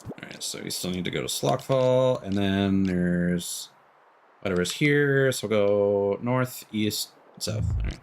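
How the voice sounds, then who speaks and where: A man with a fairly low voice talks through a game on a live stream. He rambles casually and drops slangy asides, with clear noise and clicks behind him. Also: a little slow. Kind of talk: casual live gameplay commentary.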